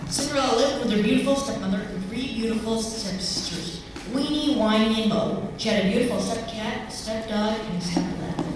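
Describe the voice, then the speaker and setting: A woman's voice: a little high, moderate pace, few pauses. A woman reading scripted comic story narration as a narrator. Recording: distant echoey hall with clear noise.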